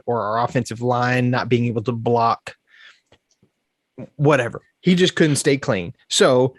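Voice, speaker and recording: A man with a slightly stuffy, congested voice chats casually as a podcast host. He sounds relaxed and thinks out loud as he goes. The sound is dry and close, with no room echo. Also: medium pitch. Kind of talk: casual sports chat.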